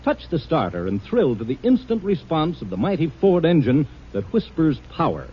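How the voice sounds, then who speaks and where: A man reads a 1950s radio commercial with polished, emphatic salesmanship. His voice is husky and fairly high, swinging widely in pitch. The recording is dull old radio. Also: huge pitch swings, moderate pace. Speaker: commercial announcer. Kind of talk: 1950s radio advertising read. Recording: muffled vintage radio recording.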